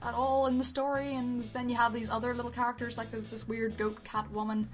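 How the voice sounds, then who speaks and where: Female review co-host, high voice, giggly and unsure of herself, unscripted chat with music underneath. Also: flat delivery, brisk. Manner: giggly, tentative, self-deprecating. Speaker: amateur web show review co-host.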